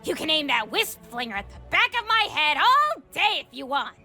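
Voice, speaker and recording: A woman's voice: sits high, very animated, normal speed, pushed and bright, faint music behind, exaggerated voice. A woman voicing a brash, cocky young female cartoon troublemaker with a mocking, swaggering manner, in a comic dub. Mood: smug taunting. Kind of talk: animated comic dub acting.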